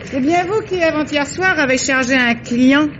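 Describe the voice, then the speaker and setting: Woman doing streetwise lady detective, brisk n sure of herself, old radio play, dull sound w faint noise. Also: high-pitched, natural voice. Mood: assured, businesslike. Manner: assured, colloquial, directive. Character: shrewd, brisk, streetwise female amateur detective. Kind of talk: old French radio drama acting. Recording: dull, hissy vintage radio recording.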